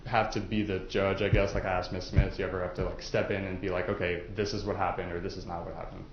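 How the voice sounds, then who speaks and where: A man's voice: sits low, level tone, fast talker. A man speaking as a prosecutor questioning prospective jurors in a chatty, informal way, using everyday examples. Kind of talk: informal courtroom jury questioning. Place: courtroom. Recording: echoey, muffled, noisy courtroom recording.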